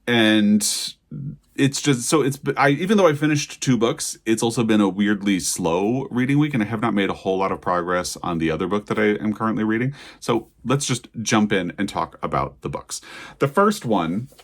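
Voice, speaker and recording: A man's voice: fairly low pitch, normal speed. An American man hosting a casual book vlog, talking in a conversational, digressive way as if thinking aloud. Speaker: book reviewer and channel host.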